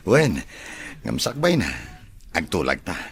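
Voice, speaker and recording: A man's voice: lowish voice, huge pitch swings, takes it slowly, vocal fry, a bit bright, a little hiss or hum, full character voice. A man playing a smitten, gullible rich bachelor who dotes on his mother, in an Ilocano radio drama. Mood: flirty, eager.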